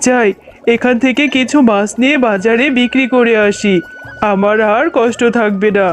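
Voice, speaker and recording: A doting fox father from a children's cartoon, acted by a woman, talks in a high voice. He sounds homely and expressive, a working fisherman talking to his family. Music runs underneath. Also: normal speed, close to an everyday voice. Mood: surprised, hopeful.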